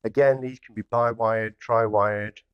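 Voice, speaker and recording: A man's voice: fairly low pitch, steady tone, unhurried, clean tone, dry recording, lo-fi sound. A man giving a casual product demonstration as a hi-fi dealer, plainly explaining each step as he works. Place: indoor hi-fi showroom.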